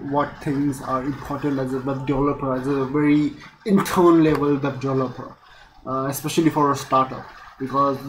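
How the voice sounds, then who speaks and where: A young man in his late teens with an Indian accent talks to camera in a loose, rambling vlog style. His voice sits at a medium pitch, and he often breaks off and restarts his thoughts. There is faint noise behind him in a small room. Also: fairly bright tone. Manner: rambling, self-interrupting, casual swearing. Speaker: YouTube vlogger, student. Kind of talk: casual unscripted vlog chat. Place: small bare room indoors. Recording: noisy room with background rain.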